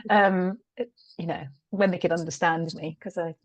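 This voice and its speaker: A woman's voice: fairly low pitch, fairly even intonation, normal speed, dry recording, dull audio. British woman telling candid stories. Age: middle-aged. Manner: reflective, laughs often, many "um" fillers. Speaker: guest, change consultant. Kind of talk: candid conversational storytelling.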